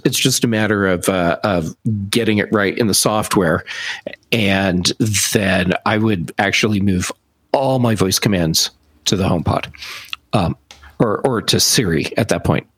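Male podcast co-host, casual and explanatory. Rough-toned voice, deliberate phrasing with pauses, very dry sound. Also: on the low side, fairly bright tone, dry recording. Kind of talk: casual tech podcast chat.

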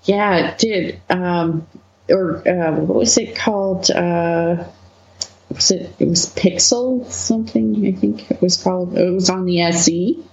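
A woman from Manitoba, Canada, a webcomic co-founder as the guest, talking through her past slowly with frequent laughs and fillers. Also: on the low side, darkish tone, echoey room, highs cut off. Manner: slow, laughing often, many fillers. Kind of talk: relaxed interview conversation.

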